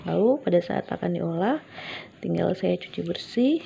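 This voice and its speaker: A woman from Papua, casually demonstrating a recipe in her home kitchen, friendly and explanatory with frequent hesitant pauses. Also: on the low side, expressive, gravelly, mellow, lo-fi sound, slight background noise. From Papua, Indonesia. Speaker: cooking vlogger.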